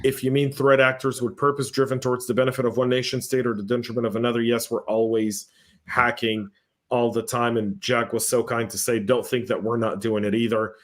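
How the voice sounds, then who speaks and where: A man hosting a podcast panel, telling lively stories and reading out viewer comments in a casual, chatty way. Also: mid-range voice, brisk. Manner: animated storyteller, acts out exchanges. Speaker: podcast host.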